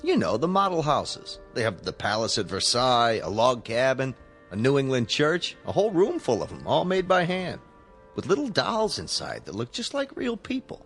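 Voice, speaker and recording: A man's voice: mid-range voice, wildly swooping pitch, normal speed, fairly bright tone, soft background music. An actor playing a folksy, no-nonsense male museum guard in a radio drama, plain-spoken and casual. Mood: helpful, chatty.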